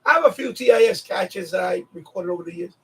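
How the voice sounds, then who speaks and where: A man's voice: sits high, animated, fast, a bit bright, slightly rough. A man hosting a casual live chat, rambling and often repeating himself as he talks to viewers. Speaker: livestream host.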